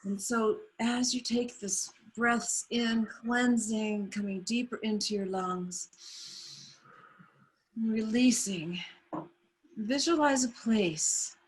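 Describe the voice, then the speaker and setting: A woman guides a meditation in a soft, soothing voice, and she never hurries. Her tone is husky and a little breathy. She speaks over a video call. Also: a little high, takes it slowly, poor audio.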